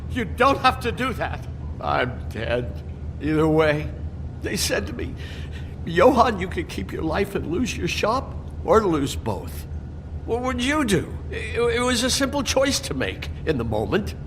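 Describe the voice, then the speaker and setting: An actor playing a cowardly, evasive butcher who stammers apologetically in a dramatic video game. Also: male voice, high voice, sing-song, measured pace, background noise, full character voice. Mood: terrified, pleading. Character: nervous, cowardly male butcher shop owner, evasive and pleading.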